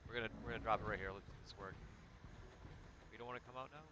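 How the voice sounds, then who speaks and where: A man's voice: lowish voice, very fast. A man with a Michigan accent, chatting casually and laughing while playing a game with friends. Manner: relaxed, chatty, laughs easily. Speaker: host. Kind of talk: casual multiplayer gaming chat. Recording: noisy game capture.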